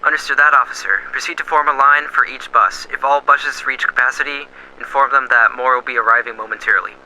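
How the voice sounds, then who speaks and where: A steady male police dispatcher, played by a man, rattles off orders at a quick clip. His voice is bright and sharp over a faint hiss. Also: mid-range voice, low-quality recording. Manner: crisp, procedural radio talk. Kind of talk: amateur radio drama acting.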